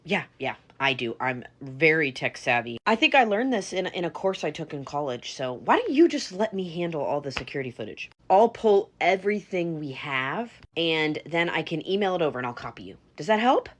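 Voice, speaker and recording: A woman's voice: low-pitched, very animated, brisk, hardly any pauses. A woman voicing a scheming, evasive young adult daughter who talks in valley-girl fillers. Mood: eager.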